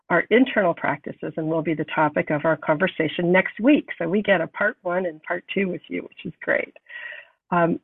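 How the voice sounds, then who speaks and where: A woman hosting a podcast, calm and unhurried as she guides a meditation, sounding nasal from a cold. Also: lowish voice, dry recording. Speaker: podcast host. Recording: thin phone-like sound, distant from mic.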